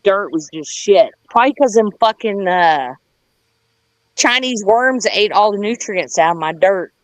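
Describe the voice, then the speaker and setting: A woman's voice: mid-range voice, animated, measured pace, dry recording, poor audio. A woman with a Southern US accent chatting casually in a folksy, plain-spoken way as a gardener on a livestream. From United States. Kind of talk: casual rambling chat.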